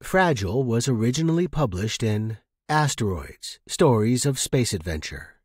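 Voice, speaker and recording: A man hosting and narrating fiction in a slightly husky voice. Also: mid-range voice, big pitch swings, moderate pace, no room sound, studio quality. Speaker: podcast host and narrator. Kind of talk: scripted narration.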